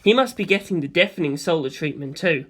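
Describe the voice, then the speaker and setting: Story narrator, medium pitch, animated intonation, theatrical, expressive first-person audiobook reading. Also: brisk.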